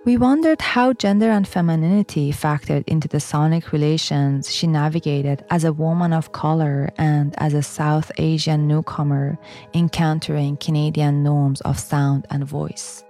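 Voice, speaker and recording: Low, mellow woman narrating calmly. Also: soft background music. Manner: measured, reflective, posing questions to listeners. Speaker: documentary narrator. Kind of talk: scripted academic narration.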